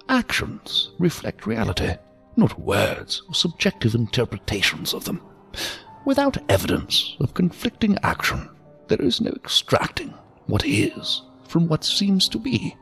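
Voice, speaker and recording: A mysterious old gentleman for an audio drama. The voice is gravelly, sometimes breathy, and swoops wildly up and down. He speaks with ornate, deferential courtesy and a philosophical bent, with music underneath. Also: a little high, full character voice. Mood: whimsical, genteel. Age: older. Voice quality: creaky, gravelly, breathy at times. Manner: formal, ornate, deferential, theatrically sing-song. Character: odd, courteous, philosophical old man lurking in an alley.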